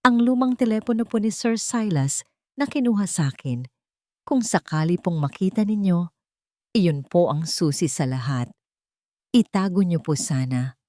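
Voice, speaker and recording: A woman's voice: fairly low pitch, expressive, normal speed, warm tone, no room sound, clean studio sound, close to an everyday voice. A woman voicing an earnest, anxious young female nurse in a storybook, respectful and pleading, with a Filipino accent.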